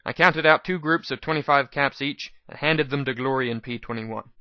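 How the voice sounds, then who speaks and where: A man's voice: medium pitch, moderate pace, no echo. A man narrating a first-person story as a dramatic audiobook reading. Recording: slightly dull, dry lo-fi recording.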